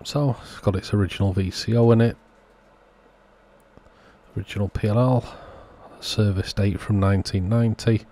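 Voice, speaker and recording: A man with a very deep, husky voice and a soft, dark tone talks through a hands-on repair. He keeps it understated and matter-of-fact, explaining each step as a channel host would. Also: steady tone, moderate pace, pauses often. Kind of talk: hands-on repair walkthrough narration.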